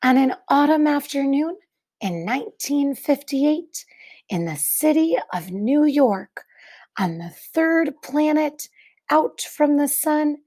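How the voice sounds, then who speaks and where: A woman reading a picture book aloud like a teacher, expressively, with deliberate dramatic pauses and emphasis for young listeners. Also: high-pitched, warm tone. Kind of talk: expressive children's read-aloud narration.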